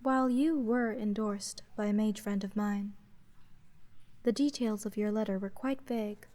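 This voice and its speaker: Woman doing a kuudere sea witch for asmr, soft dark tone, flat and deadpan, very proper and aloof. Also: mid-range voice, moderate pace, lightly acted. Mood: composed, businesslike. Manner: monotone, deadpan, formal. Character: aloof, polite female sea witch with tentacles, merchant. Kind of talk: slow roleplay ASMR.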